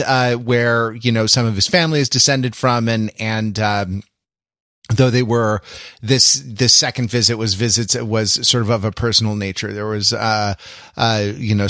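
A man's voice: on the low side, a bit bright, no echo, clean studio sound. A middle-aged man co-hosting a podcast, chatting casually in a hesitant way and often repeating words. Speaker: podcast co-host. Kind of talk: casual podcast introduction and chat.

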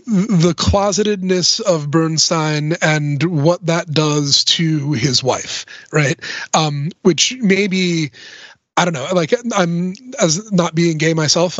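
A man's voice: on the high side, no echo, dull audio. A man talking as a podcast panelist in a casual, analytical way, explaining at length and often checking in with the listener.

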